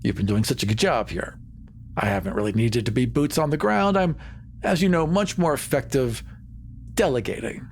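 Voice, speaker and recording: Man as persuasive sci-fi colony spokesman; earnest, assertive, mid-pitched, pauses for emphasis. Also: lively intonation, vocal fry, darkish tone, slight background noise. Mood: smoothly flattering. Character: assertive, smooth-talking male Mars colony promoter. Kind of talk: improvised roleplay dialogue.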